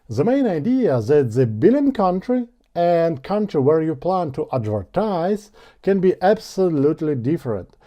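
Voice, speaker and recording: A man's voice: medium pitch, mellow. A man, explaining an online tutorial step by step in English. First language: Ukrainian. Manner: explains step by step in non-native English, gesturing with his hands. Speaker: online ads instructor. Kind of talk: screen-share tutorial. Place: dark studio with blue backlight. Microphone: small lapel mic.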